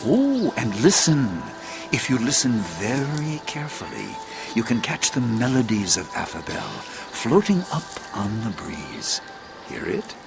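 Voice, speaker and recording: An actor playing a wise old sage and former schoolmaster, narrating an audio drama in a stately, deliberate, theatrical way over music. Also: male voice, medium pitch, big pitch swings, vocal fry. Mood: coaxing, mysterious. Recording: loud music bed under the voice.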